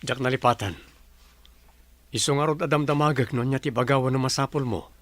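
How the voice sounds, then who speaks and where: A man's voice: mid-range voice, a little fast, no room sound, close to an everyday voice. A man playing an evasive, defensive fiancé in a radio drama, with a Filipino Ilocano accent.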